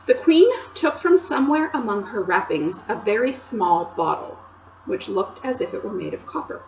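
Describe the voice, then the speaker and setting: A woman teacher reading a chapter book aloud to children, with expressive storytelling and chatty asides to her listeners. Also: mid-range voice, moderate pace, gravelly, lo-fi sound, a little hiss or hum.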